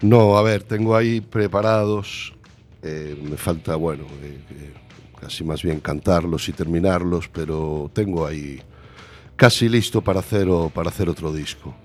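A man with a Galician Spanish accent and a very deep voice speaks as the guest in a relaxed interview. He talks about his music haltingly, stopping to search for words and gently putting himself down. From Galicia, Spain. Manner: hesitant, self-deprecating, searching for words. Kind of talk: casual interview chat.

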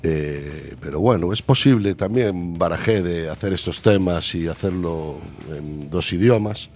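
A man with a Galician Spanish accent and a very deep voice speaks as the guest in a relaxed interview. He talks about his music haltingly, stopping to search for words and gently putting himself down. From Galicia, Spain. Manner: hesitant, self-deprecating, searching for words. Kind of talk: casual interview chat.

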